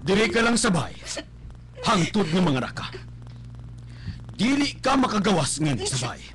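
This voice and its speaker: A proud, domineering middle-aged father in a radio drama. He barks orders and scolds, his pitch swinging extremely widely. Dramatic music runs underneath. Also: very animated, moderate pace, a bit bright. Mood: stern scolding. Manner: commanding, scolding, emphatic. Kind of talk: melodramatic Philippine radio drama acting.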